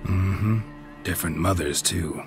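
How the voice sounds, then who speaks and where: Deep, gravelly, deadpan monster hunter. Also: male voice, a little slow, over music. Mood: wry, deadpan. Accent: American. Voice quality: low gravelly murmur. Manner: terse, deadpan. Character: stoic male monster hunter, weary, dryly sardonic. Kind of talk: video game voice acting.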